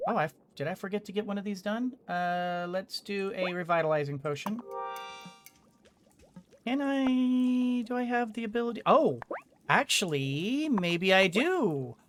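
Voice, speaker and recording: Girl gamer doing a lets play, slow and halting, casual, faint music in back. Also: medium pitch, animated. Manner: thinking aloud, hesitant phrasing. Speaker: gaming streamer. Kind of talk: casual let's play commentary.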